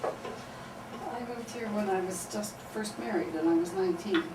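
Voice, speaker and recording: A woman's voice: medium pitch, measured pace. A woman, a farmer giving plain, matter-of-fact interview answers. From Canada. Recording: distant mic in a noisy, echoey room.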